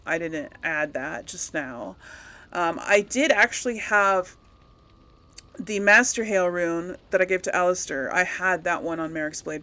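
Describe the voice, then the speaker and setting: A woman's voice: fairly low pitch, bright, forceful tone. A woman hosting a game playthrough, talking casually to her audience and thinking aloud in a rambling way. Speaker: host of a game playthrough series.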